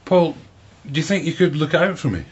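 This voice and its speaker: An actor playing a serious, curious investigator who quietly probes in a naturalistic radio drama. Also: male voice, on the high side, animated, fairly quick, breathy edge. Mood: probing. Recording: dull, muffled sound.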